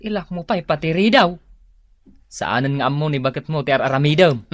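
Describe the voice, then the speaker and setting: A man's voice: fairly high pitch, expressive, fairly quick, lightly acted. A man acting a nosy, complicit buddy in an Ilocano radio drama. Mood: nosy, admiring.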